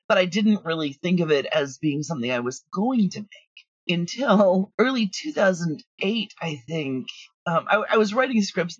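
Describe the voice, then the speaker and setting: Middle aged lady, husky, chatty interview guest telling stories, kinda dull remote audio. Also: deep voice, dry recording. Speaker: guest, audio drama writer and producer. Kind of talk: casual interview chat.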